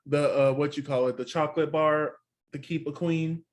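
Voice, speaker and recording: A man's voice: a little high, fairly even intonation, normal speed. A man with a Texan accent co-hosting a casual TV recap chat, with sassy asides. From Texas. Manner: chatty, sassy asides like "honey". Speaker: podcast co-host.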